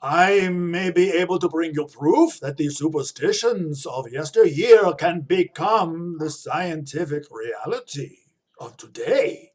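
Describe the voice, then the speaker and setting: A man performs a grave male professor and vampire expert in a radio drama. His fairly high voice moves slowly, with declamatory emphasis. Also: animated, a little mellow. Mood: grave, insistent. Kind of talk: radio play acting.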